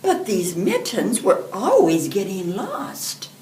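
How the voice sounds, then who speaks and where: An elderly woman reading a picture book aloud to children in an expressive, deliberate storytelling manner. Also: medium pitch. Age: 70s or older. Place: indoor room, seated. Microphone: camera a few feet away. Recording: roomy, distant microphone, background hiss.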